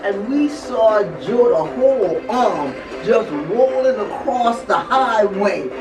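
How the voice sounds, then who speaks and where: An older woman telling a story in an animated, dramatic way, repeating words and phrases as she goes. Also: fairly high pitch, slow pace. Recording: roomy echo, distant mic, music behind.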